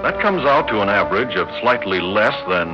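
A man with an American accent narrating a radio drama in a measured, formal, emphatic documentary style. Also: fairly low pitch, big pitch swings. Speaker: narrator and announcer. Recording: muffled radio broadcast over loud music.

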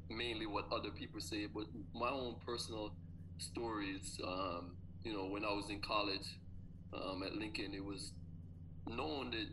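A man's voice: fairly low pitch, background noise. A man in his forties with a Jamaican accent, a track coach and former athlete, telling earnest personal stories in an interview. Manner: earnest, reflective storytelling.

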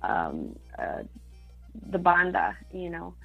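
A woman's voice: on the low side, very animated, slow pace, vocal fry, lo-fi sound, faint music behind. A woman from Mexico, a singer-songwriter, talking casually in an interview, with many fillers and Spanish words mixed in.

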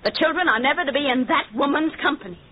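A woman's voice: high-pitched, quick pace, lightly acted. A woman playing a haughty, sly, scheming society wife in a 1940s radio drama, with coquettish manners. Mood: haughty, cold. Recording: muffled, narrow-band old radio recording.

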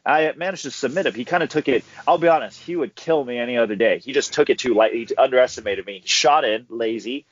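A young man, a professional fighter, telling stories in a relaxed way as a guest on a casual podcast interview, laughing easily. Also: medium pitch, fast talker, few pauses. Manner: laid-back storyteller who laughs easily. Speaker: guest, pro MMA fighter. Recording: dull dry audio.

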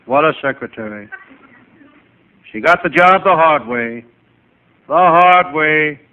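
A male comedian playing a wisecracking, hapless private eye, narrating in deadpan first person in old-time radio comedy style. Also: a little high, takes it slowly. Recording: noisy, tinny vintage radio recording.

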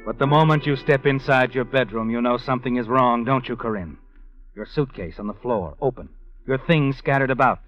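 A man's voice: mid-range voice, fairly quick, lightly acted. Guy acting a knowing taunting narrator, old radio mystery show, muffled audio w music behind. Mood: ominous. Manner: insinuating, taunting. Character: sly, knowing narrator addressing the villainess directly. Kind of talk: 1940s radio suspense narration.

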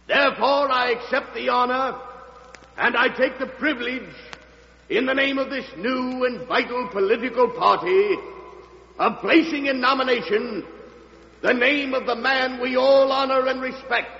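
An actor playing a fervent political orator in a vintage radio drama, declaiming grandly with drawn-out phrases. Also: male voice, extremely high pitch, bright, forceful tone, full character voice. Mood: grandiose, building. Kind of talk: 1940s-50s radio convention speech acting. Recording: echoey hall, crowd, dull vintage recording.

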